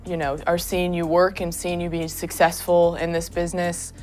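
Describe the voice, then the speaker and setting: A woman boom operator speaking in a documentary interview, measured and thoughtful, with frequent pauses. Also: monotone, darkish tone, soft background music.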